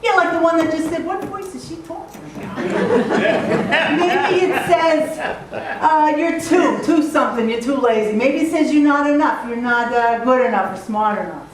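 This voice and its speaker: A woman giving a playful, animated storytelling talk to a live audience, sometimes breaking into song. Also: high voice, normal speed, a faint crowd. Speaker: motivational speaker. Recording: echoey hall recorded from a distance.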